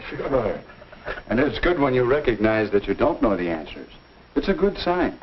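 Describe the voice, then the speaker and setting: A genial, avuncular minister, played by a male actor gives earnest counsel in the manner of a 1950s instructional film. His mid-pitched voice rises and falls expressively, with measured, folksy phrasing. The soundtrack is dull and dated. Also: big pitch swings, a little hiss or hum, natural voice. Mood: earnest, advising.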